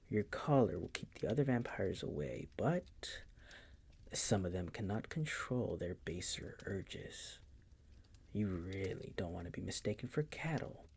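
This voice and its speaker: Man acting a breathy, slow-talking vampire master. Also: darkish tone, exaggerated voice. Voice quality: breathy, gravelly low voice with frequent whispering. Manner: slow, teasing, condescending purr. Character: possessive, condescending male vampire master, suave and teasing. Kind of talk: intimate roleplay audio, ASMR-like acting.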